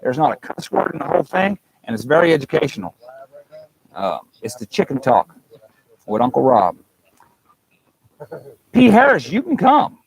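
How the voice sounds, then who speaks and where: Gravelly man chatting outdoors. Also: a little high, big pitch swings, moderate pace, long pauses, poor audio. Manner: folksy, rambling, joking. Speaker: livestream host. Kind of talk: casual live chat. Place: outdoors at a campsite. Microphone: clip-on mic with fuzzy windscreen.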